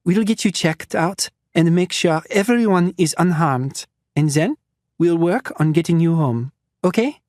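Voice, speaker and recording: A man's voice: fairly high pitch, unhurried, a little mellow, no room sound, clean studio sound, pitched above the performer's own voice, close to an everyday voice. A man voicing a refined French-accented woman doctor. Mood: smooth reassurance. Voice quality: refined, polite, soothing.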